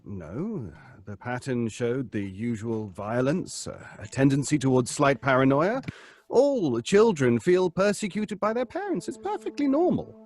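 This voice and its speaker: An actor playing a psychologist who speaks in a measured, advising way in a live audio drama. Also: male voice, medium pitch, very animated, darkish tone. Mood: grave. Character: calm, grave male psychologist. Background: faint live music.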